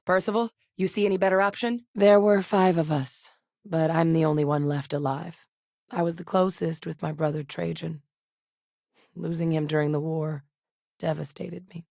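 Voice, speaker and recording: An actress voicing an earnest woman scientist in a video game, speaking in a measured, candid way. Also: vocal fry, darkish tone, no room sound, clean studio sound. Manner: measured, earnest, low-key. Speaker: game character.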